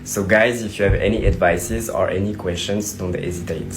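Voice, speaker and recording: A man's voice: low voice, fairly even intonation, normal speed, loud music behind the voice. A man talking casually and in a friendly way to the camera, slipping into English phrases. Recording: noisy background.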